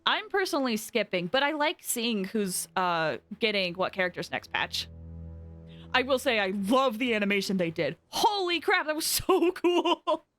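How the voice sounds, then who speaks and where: A woman's voice: fairly high pitch, sing-song, normal speed, fairly bright tone. A young woman chatting casually and effusively as a game livestreamer, often exclaiming. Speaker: game streamer. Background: game music.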